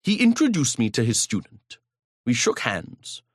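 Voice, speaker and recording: Pompous, lively male audiobook narrator. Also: mid-range voice, measured pace, no echo, studio quality. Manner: pompous, grandiloquent; occasional stutter. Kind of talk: first-person audiobook narration.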